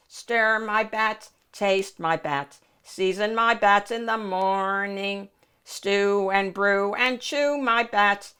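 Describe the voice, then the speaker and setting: A gloating storybook witch, acted out by a woman reader. She croons and crows in a theatrical sing-song, stretching her words slowly as she chants horrible fortunes. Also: mid-range voice, a bit bright, clear, resonant tone. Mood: crooning. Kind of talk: children's picture book read-aloud.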